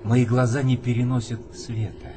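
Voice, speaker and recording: An actor playing a courteous, mysterious ferryman of the dead with a measured, polite manner. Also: medium pitch, little pitch movement, noisy background, natural voice. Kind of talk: audio drama acting.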